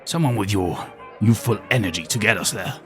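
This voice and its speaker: A man's voice: lowish voice, fairly quick, faint music behind, natural voice. A man playing an aging former nature documentary host with a wry, world-weary, conspiratorial manner. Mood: sly. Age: older.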